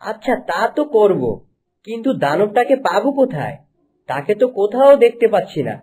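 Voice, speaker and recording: Lively, confident young fairy-tale hero played by a man. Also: high-pitched, brisk. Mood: confident, commanding. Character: brave, kindly young hero with a magic wand. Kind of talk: cartoon story dubbing.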